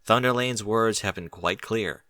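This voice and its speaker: A man reading a story aloud as an expressive audiobook narrator. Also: fairly low pitch, unhurried, no echo.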